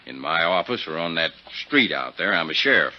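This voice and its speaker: A man's voice: deep voice, sing-song, normal speed, a little hiss or hum. An actor playing a shrewd, folksy small-town sheriff in a 1950s radio drama, with fatherly, rustic talk. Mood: folksy, frank. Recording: dull 1950s radio recording.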